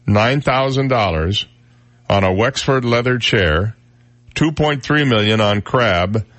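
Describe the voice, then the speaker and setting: Wry radio host with a stuffy nose. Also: male voice, lowish voice, takes it slowly, fairly bright tone, no room sound. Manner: wry, conversational, lots of uh fillers. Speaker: radio talk show host. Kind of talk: casual morning radio talk. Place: radio studio. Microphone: studio microphone.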